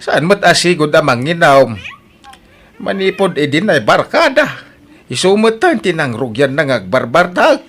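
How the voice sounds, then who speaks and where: A man acting an older, plain-spoken father-in-law in an audio drama, with an Ilocano accent and drawn-out, interjection-filled speech. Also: on the high side, very animated, a bit bright, breathy edge, heavily acted. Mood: concerned.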